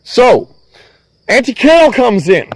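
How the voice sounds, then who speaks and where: High-pitched angry middle-aged man swearing. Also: very animated, slow, creaky, no echo, poor audio. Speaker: van-dwelling vlogger. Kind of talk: agitated venting to a police officer. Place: outdoors at a rural property.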